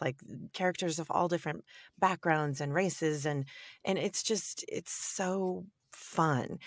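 A woman who narrates audiobooks, with a deep, raspy voice, chatting enthusiastically in an interview and often saying "you know.". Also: dry recording, clean studio sound. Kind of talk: conversational interview answers.

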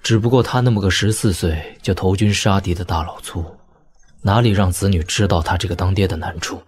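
An actor playing a weary, tender young lord and elder brother in an audio drama, speaking in a measured, reflective way. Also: male voice, deep voice, darkish tone, husky. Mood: sorrowful, reflective. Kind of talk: audio drama dialogue in Mandarin wuxia serial.

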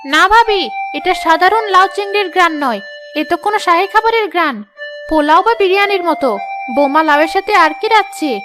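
Casting: fault-finding cartoon aunt played by a woman, middle-aged, bossy, emphatic, very high pitch, music behind. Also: lively intonation, brisk. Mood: suspicious, bossy. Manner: scolding, emphatic. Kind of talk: cartoon story voice acting.

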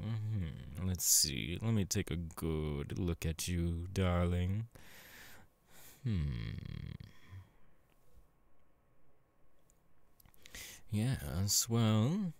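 Slow, sleazy demon boss played by a man. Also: animated, gravelly, a little mellow, full character voice. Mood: leering, appraising. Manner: suave, drawling, leering, with low chuckles and pet names. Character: sleazy, seductive demon porn director and crime boss, male. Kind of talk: ASMR audio roleplay, in character.

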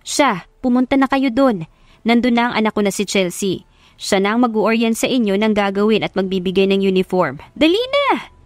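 A woman's voice: mid-range voice, sing-song, moderate pace, no echo, lightly acted. A woman playing a caring but bossy old neighbourhood woman with a fretting, familiar manner in an audio love story. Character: fussy, caring old neighbourhood woman who hires helpers.

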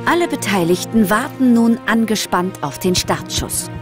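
A woman's voice: mid-range voice, animated, normal speed, music in the background. A woman narrating a scripted children's story.

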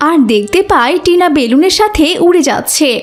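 A woman's voice: extremely high pitch, animated, fairly quick, soft background music. A woman narrator reading a scripted children's cartoon story.

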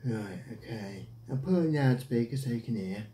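English bloke chatting on a call, kinda mellow, rambles and hesitates, roomy sound. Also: male voice, mid-range voice, expressive, normal speed. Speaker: prank caller. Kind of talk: casual phone chat. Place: small domestic room, indoors. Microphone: camera at about arm's length. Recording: echoey room.

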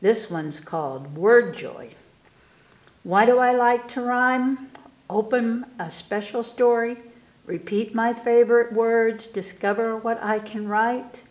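Slow-paced woman reading to children. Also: on the high side, reverberant. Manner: animated, unhurried, enunciating for children. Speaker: library storytime reader. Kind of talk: expressive read-aloud of children's poems. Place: indoors in a room, book filmed on table.

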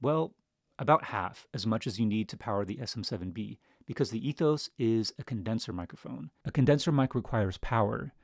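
A man's voice: on the low side, lively intonation, fairly quick, creaky, no room sound. A man in his thirties talking casually and conversationally as a tech reviewer, close on a studio microphone in a small home studio. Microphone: close studio microphone on desk stand.

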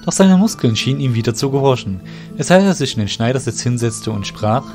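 A man narrates a scripted fantasy audio play. His voice sits at an average pitch for a man. Music is clearly heard beneath the storytelling. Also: expressive, brisk, a little mellow.

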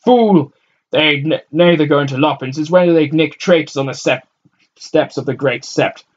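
A heated townswoman scolds and gossips in the crush of a crowd, as a man reading a story plays her. The voice sits high and loud with a husky rasp. The recording is dull and lo-fi. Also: moderate pace, lightly acted. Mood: scolding. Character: gossiping commoner woman in a street crowd.

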